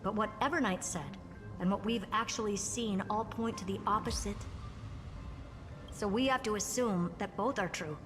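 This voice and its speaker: Game casting: a woman playing a wry genius scientist, mid-pitched, dry delivery, long reverb on the game audio. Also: normal speed, natural voice. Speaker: game character. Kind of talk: video game voice acting. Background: game music.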